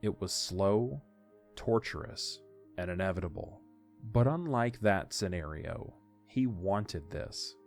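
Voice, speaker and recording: A man's voice: low-pitched, expressive, slow, gravelly, a little mellow. A man reading scripted story narration as the narrator of an audio drama. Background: faint music.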